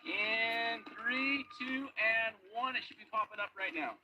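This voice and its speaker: A man on a boat giving brisk, step-by-step directions for a sonar search on a river. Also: very high-pitched, pushed and bright, highs cut off. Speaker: search team leader. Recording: noisy outdoor recording.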